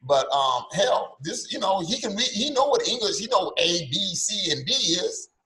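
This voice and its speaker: An older man co-hosting a casual podcast, sarcastic and animated, often stammering as he restarts his sentences. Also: high voice, normal speed, reverberant, rough recording. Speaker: podcast co-host. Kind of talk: casual opinionated chat.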